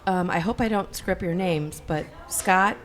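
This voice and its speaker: A woman co-hosts a relaxed podcast, talking casually and breaking into laughter now and then. Her voice sits on the low side and sounds slightly hoarse. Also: moderate pace, slight background noise. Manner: chatty, laughs often. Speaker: podcast co-host. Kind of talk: casual chat.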